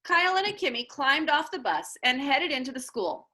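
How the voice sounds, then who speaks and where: A woman reading a children's book aloud as an expressive narrator. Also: high-pitched, moderate pace.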